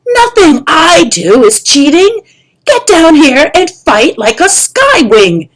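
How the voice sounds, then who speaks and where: A woman's voice: very high voice, very animated, slow, pitched above the performer's own voice, full character voice, rough recording. A woman voicing a fierce older female dragon teacher who snarls, bellows and mocks her pupil in a storybook reading. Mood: bellowing.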